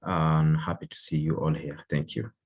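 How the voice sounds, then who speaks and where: A man introducing himself on a video call, slow and hesitant with many pauses. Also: a bass voice, steady tone, darkish tone, husky.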